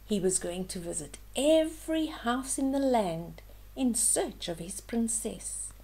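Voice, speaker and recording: Older lady doing storytime for kids, really slow, highish voice, expressive, explains stuff simply. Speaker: children's storyteller, host. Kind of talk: storybook read-aloud with asides to young listeners.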